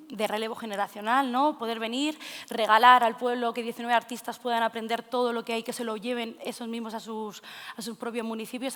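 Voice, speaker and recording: A woman with an accent from Spain giving an informal conference talk in an echoey hall, conversational and halting, often adding tag questions. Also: on the high side, a bit bright. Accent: Spanish from Spain. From Castilla-La Mancha, Spain. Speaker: speaker presenting a cultural project. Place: forum hall. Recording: echoey hall with long reverb.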